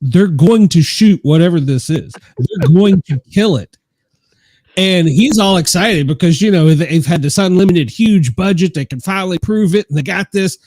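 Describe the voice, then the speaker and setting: Host: man, high lively voice, dry close sound, animated storyteller. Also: moderate pace, dry recording. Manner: animated storyteller, laughs while telling. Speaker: podcast host. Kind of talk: casual podcast conversation.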